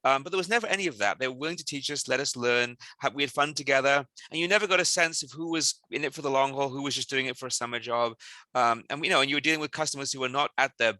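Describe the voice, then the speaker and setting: A man from South Wales talks fast as a CEO in an interview, his voice very bright and forceful. He tells animated stories and often restarts his sentences. The sound is dry and close, with no room around it. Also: mid-range voice, no echo. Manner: quick, animated storytelling with frequent restarts. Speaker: company CEO, interview guest. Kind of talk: casual interview answers.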